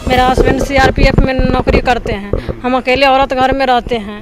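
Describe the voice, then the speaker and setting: A woman's voice: high voice, little pitch movement, normal speed, loud music behind the voice. A woman speaking off the cuff as an interviewed resident, making a complaint. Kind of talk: spontaneous complaint to a reporter. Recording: very noisy field recording.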